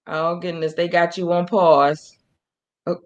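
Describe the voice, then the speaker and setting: A woman's voice: fairly low pitch, flat delivery, unhurried, highs cut off. A woman co-hosting a casual livestream, speaking conversationally and chiming in with short agreement. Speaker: co-host. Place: remote video call.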